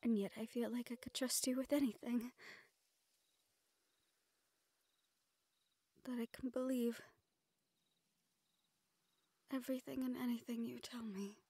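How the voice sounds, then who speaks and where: A woman's voice: fairly high pitch, fairly even intonation, brisk, no room sound. A woman playing a shy, sweet, self-conscious young nephilim woman in love, speaking hesitantly and tenderly in a romantic audio roleplay. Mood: tender, hushed. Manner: hesitant, tender, breathy hushed passages.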